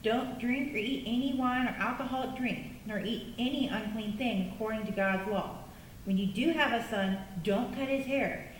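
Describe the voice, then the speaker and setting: A woman playing a solemn angel who recites scripture in a measured way for a puppet show. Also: close to an everyday voice. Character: solemn angel announcing a birth in scripture words. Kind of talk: children's puppet show Bible drama. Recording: echoey distant room with rumble.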